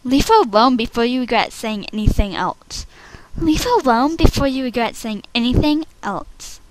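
A woman playing an outspoken schoolgirl from an animated series in short, dramatic readings. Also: on the high side, moderate pace, background noise, close to an everyday voice. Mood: annoyed.

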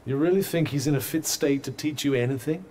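A man's voice: fairly high pitch, brisk, darkish tone, a little hiss or hum, natural voice. A man playing an urbane magical talking cuff in a video game, with a formal, drily teasing manner. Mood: dry, teasing. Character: urbane, dry-witted talking magical cuff, male companion.

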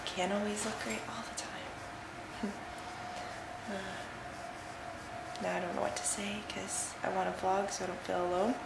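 A woman's voice: medium pitch, flat delivery, measured pace, creaky, pauses often. A young woman vlogging casually as she walks through an empty house, rambling with lots of fillers, filming on a handheld camera. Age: young adult. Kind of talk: casual vlog. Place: empty house with bare rooms, indoors. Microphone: handheld camera at arm's length. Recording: echoey empty room, audible noise.

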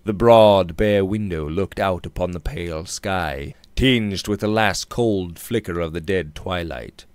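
A man in his thirties narrating a Victorian novel theatrically, with measured, emphatic phrasing, close to the microphone in a small room. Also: low-pitched, clear, resonant tone, no room sound. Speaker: audiobook narrator. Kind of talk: dramatic reading of a Victorian novel. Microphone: studio condenser microphone on a boom arm, close up.

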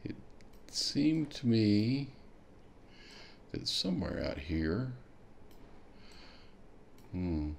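A man's voice: on the low side, sing-song, extremely slow, vocal fry, pauses often, slight background noise. A man hosts a kayak fishing show, walking viewers through a map on screen and thinking aloud as he clicks. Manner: thinking aloud, musing with hmms while clicking. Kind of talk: screen-share tutorial walkthrough.

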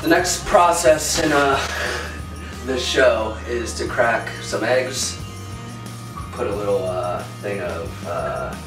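A man's voice: on the low side, slow pace. A young man joking and rambling while cooking in a small home kitchen, filmed on a handheld camera. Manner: rambling, joking, laughing often. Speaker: vlogger filming a cooking video. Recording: echoey kitchen, music behind, off-mic.

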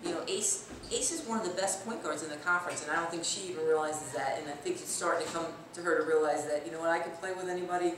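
A woman's voice: low-pitched, quick pace, few pauses. A woman in her forties, a basketball coach, answering postgame interview questions. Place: indoor room with block and stone wall. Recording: very echoey, distant, noisy room.

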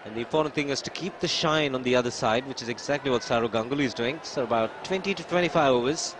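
A man's voice: mid-range voice, fast talker, background noise. A man giving explanatory, matter-of-fact live cricket commentary. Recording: broadcast commentary over loud stadium crowd.

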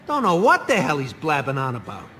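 A sarcastic, wisecracking raccoon. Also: male voice, on the high side, wildly swooping pitch, fairly quick, slight background noise, full character voice. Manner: sarcastic, gruff. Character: wisecracking greedy talking raccoon, male adult. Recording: game audio over loud music.

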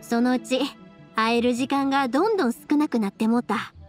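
Cheerful young Kansai woman, high-pitched actress's performance. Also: fairly quick, soft background music, lightly acted. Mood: storytelling. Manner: friendly, breezy, folksy. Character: cheerful, warm-hearted young woman fireworks maker in anime game. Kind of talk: anime game voice acting.

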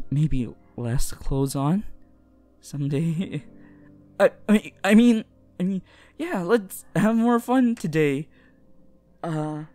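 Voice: mid-range voice, expressive, slow pace, long pauses, warm tone. A performer voicing a nervous, sweet teenage boy hero-in-training who stammers and mumbles in a fan roleplay audio. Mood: embarrassed. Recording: music behind the voice.

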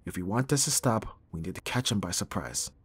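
A man playing a gruff but tender young bodyguard in an intimate ASMR roleplay, speaking softly with sighs and a husky voice. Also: lowish voice, lively intonation, a little fast. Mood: determined. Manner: soft, intimate, sighing, teasing.

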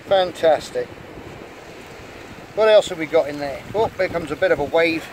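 A man's voice: fairly high pitch, moderate pace. A middle-aged man casually narrating a nature vlog outdoors at rock pools, chatty and enthusiastic as he handles sea creatures. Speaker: channel host. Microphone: camera held in hand at the shore. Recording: outdoor wind noise on mic.

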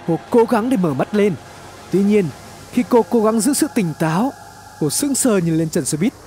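A man narrating a scripted horror story in a suspenseful, expressive, dramatic way. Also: high-pitched. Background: background music.

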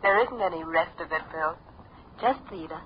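A woman playing a mysterious, seductive femme fatale with a soft, breathy voice in a 1950s radio drama. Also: fairly low pitch, moderate pace, slight background noise, lightly acted. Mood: cool, alluring. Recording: dull old radio recording.

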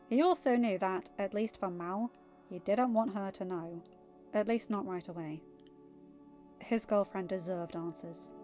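A woman's voice: medium pitch, muffled recording. A woman with an English accent reading fan fiction and commenting on it casually. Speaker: amateur fan fiction reader. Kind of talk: storybook narration and casual commentary.

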